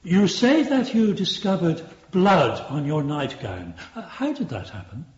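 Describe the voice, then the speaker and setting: A man's voice: a little high, very animated, measured pace. An actor playing a stern, sceptical male Victorian prosecuting barrister in a radio courtroom drama, questioning formally and pointedly. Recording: roomy, slightly muffled courtroom sound.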